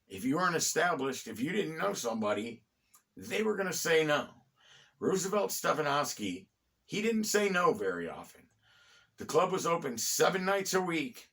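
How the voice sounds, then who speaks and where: A middle-aged American man hosting a conversational, storytelling history talk, phrasing deliberately with pauses and dropping in wry asides. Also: fairly high pitch, big pitch swings, a bit bright. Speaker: host and storyteller of a local history series. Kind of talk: conversational bedtime-story style history narration.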